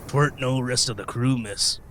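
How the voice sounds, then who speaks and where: An actor playing a weathered, gruff old sailor in a radio play, telling sea tales in folksy, hesitant rustic speech. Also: male voice, medium pitch, steady tone, moderate pace, background noise, natural voice. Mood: grave, ominous.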